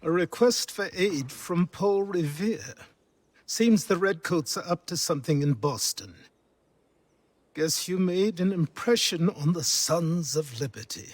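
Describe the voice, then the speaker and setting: An actor playing a wry older mentor in a video game, husky-voiced, with a dry, understated manner. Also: male voice, on the high side, animated, unhurried, no room sound. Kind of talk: video game character acting.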